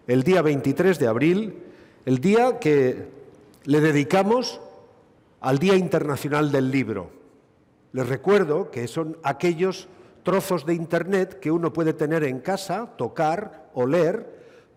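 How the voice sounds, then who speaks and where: Middle-aged male gynecologist, expansive and wry, raised pitch, lecturing in a reverberant hall. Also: darkish tone. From Basque Country, Spain. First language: Basque. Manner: expansive, witty and rhetorical, with dry asides. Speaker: gynecologist giving a conference lecture. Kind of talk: conference lecture.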